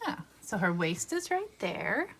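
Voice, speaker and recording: A woman's voice: medium pitch, sing-song, a little slow, a bit bright, slight background noise. A woman in her thirties leading a guided drawing lesson, giving patient step-by-step instructions. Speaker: art teacher. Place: home art studio.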